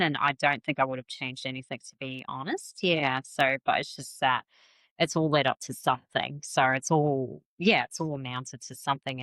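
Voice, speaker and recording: A woman talking casually as a podcast guest about her work as a psychic medium, chatty and quick to laugh at herself. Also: rumbling low, fairly bright tone, no room sound. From New Zealand and Malaysian family. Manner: chatty, self-deprecating, laughs often. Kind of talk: casual interview conversation.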